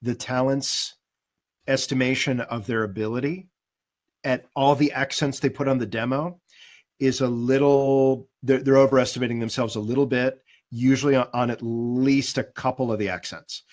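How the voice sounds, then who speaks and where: A man speaking as a guest casting director, giving conversational advice in a halting, careful way. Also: medium pitch, fairly even intonation, darkish tone.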